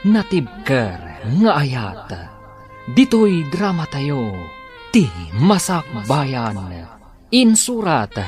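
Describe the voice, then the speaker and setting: A man with an Ilocano accent giving dramatic scripted narration as the announcer of a radio drama. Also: mid-range voice. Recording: radio drama with music bed.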